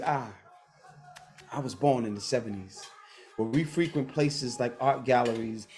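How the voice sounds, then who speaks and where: A man in his fifties performing a spoken word poem with a measured, rhythmic delivery, slowing down to stress key phrases. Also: medium pitch, darkish tone. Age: 50s.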